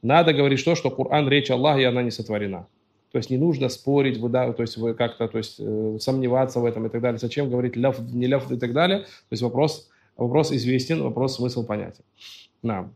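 A man's voice: mid-range voice, quick pace, a little mellow. A man giving a religious lecture, reading out Arabic passages and then explaining them plainly in his own words. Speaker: religious teacher.

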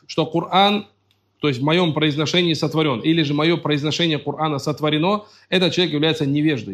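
A man giving a religious lecture, reading out Arabic passages and then explaining them plainly in his own words. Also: on the high side. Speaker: religious teacher.

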